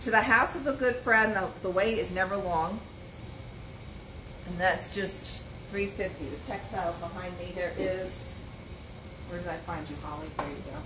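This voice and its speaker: A woman's voice: on the low side, normal speed. A middle-aged woman hosting a live antiques sale from a shop booth, chatting casually as she reads out items, sizes and prices. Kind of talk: live sales stream, casual item pitching. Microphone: phone or camera on a stand, moving around in frame. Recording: roomy shop sound with background noise.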